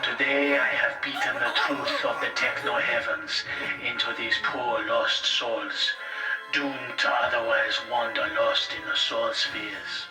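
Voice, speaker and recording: A man's voice: mid-range voice, level tone, slow, reverberant, far from the mic, over loud music, lightly acted, rough recording. A man playing an ominous, fanatical tech priest who preaches grandly and menacingly in a cyberpunk audio drama. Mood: fanatical preaching.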